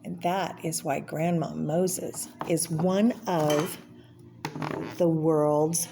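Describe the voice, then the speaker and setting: Lower-pitched woman reading aloud, noisy recording. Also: fairly even intonation, vocal fry. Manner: explanatory, pausing to name and comment on pictures. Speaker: read-aloud presenter for children. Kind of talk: reading a picture book aloud with informal asides.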